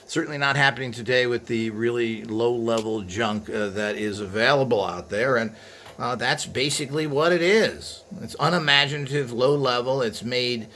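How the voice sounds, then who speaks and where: A man with a mid-pitched voice presents a piece of vintage equipment off the cuff. He rambles through his commentary and gives blunt opinions as he goes. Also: normal speed, pushed and bright, slight background noise. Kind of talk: unscripted product demonstration commentary.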